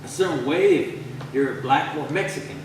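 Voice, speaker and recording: A man's voice: fairly high pitch, normal speed, close to an everyday voice. A man playing a blunt, cynical young friend in casual dialogue at a staged reading. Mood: blunt, low-key. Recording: echoey hall, distant mic, faint noise.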